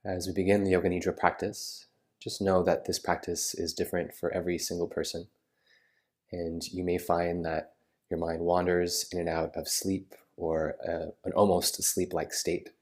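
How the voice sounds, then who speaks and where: A man guiding a meditation in a soothing manner, with unhurried phrasing and gentle pauses. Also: low-pitched, little pitch movement. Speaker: yoga nidra meditation guide. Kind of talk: guided meditation.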